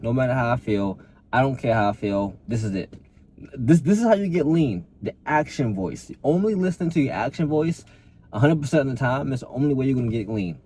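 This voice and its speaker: A man's voice: medium pitch, dark tone, a little hiss or hum, poor audio. A young adult man talking casually to camera as a fitness coach, earnest and motivating, often repeating and restarting words.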